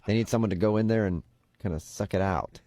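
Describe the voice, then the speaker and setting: Man posing as a breezy, deadpan job recruiter. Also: lowish voice, fast talker, lightly acted. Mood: matter-of-fact. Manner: casual, breezy, straight-faced salesman patter. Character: upbeat, pushy temp agency recruiter pitching an absurd job. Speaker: radio prank caller. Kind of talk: deadpan prank phone call.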